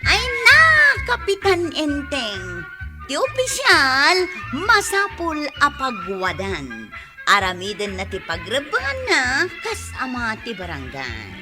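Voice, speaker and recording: High, sweeping Ilocano woman announcer over music. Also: huge pitch swings. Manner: theatrical, drawn-out announcing. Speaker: radio drama announcer. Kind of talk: dramatic scripted radio introduction and credits.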